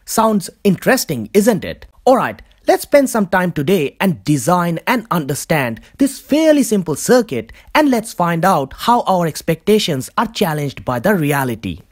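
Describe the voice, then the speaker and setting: Tutorial host, scripted narration, animated and varied pitch. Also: moderate pace, few pauses. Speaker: host of an electronics DIY tutorial channel.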